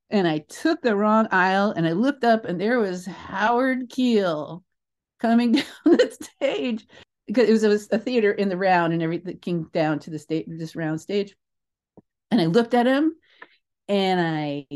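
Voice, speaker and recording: An older woman speaking as an interview guest, telling anecdotes in an expressive, emphatic, rambling way with asides. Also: mid-range voice. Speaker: guest, theatre creator. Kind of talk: animated anecdotal storytelling in an interview.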